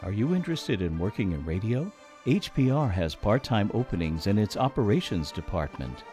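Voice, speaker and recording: A male announcer reads a scripted radio spot. His voice is low and mellow with animated intonation, over faint music. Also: normal speed. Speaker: station announcer.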